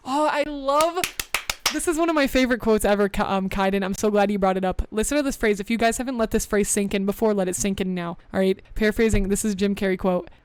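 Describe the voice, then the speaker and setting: A young adult woman hosting a podcast, talking earnestly and encouragingly in a loose, conversational way full of fillers, with a deep voice for a woman. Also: fast, a little hiss or hum. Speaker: podcast host. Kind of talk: motivational podcast talk.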